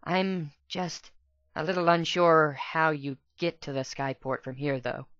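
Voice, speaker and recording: A polite young mare in an audio story played by a woman, hesitant and unsure, with halting phrases. The voice sits a little low for a woman, recorded dry and slightly dull. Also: a bit bright, dry recording. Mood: tentative. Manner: hesitant, stammering. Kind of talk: dramatic reading of fan fiction.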